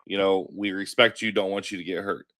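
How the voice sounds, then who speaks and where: A man hosting a casual sports podcast, sounding stuffy from a cold, chatting in a relaxed way. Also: low voice, a little fast. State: stuffy, congested. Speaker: podcast host.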